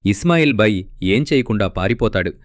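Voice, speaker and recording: A man narrating a scripted storybook tale in a steady way. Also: on the low side, brisk, no room sound, studio quality. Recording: faint background music.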